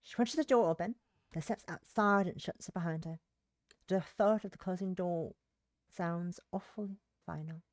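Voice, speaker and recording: A woman's voice: on the low side, moderate pace, a little mellow, dry recording. A woman livestreaming a game, reading its story text aloud with casual comments and occasional stumbles over words.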